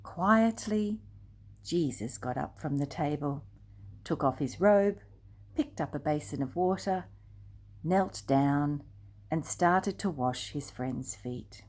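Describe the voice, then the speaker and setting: An older woman reading a picture book aloud expressively and deliberately, speaking directly to young listeners. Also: sits low, animated, a little mellow. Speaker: storyteller.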